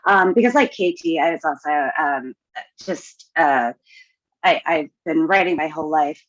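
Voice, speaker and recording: A woman's voice: deep voice, unhurried, dry recording. A woman chatting casually as a podcast guest, wry and often interrupting herself. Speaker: writing course graduate, podcast guest. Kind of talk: casual chat. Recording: dull, dry lo-fi microphone.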